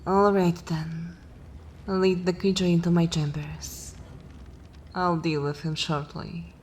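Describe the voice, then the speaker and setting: A woman playing an ancient, haughty vampire mistress in a dark fantasy ASMR roleplay, languid and theatrical with disdain, lapsing into whispers. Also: on the low side, flat delivery, slow pace, slight background noise. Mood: darkly playful.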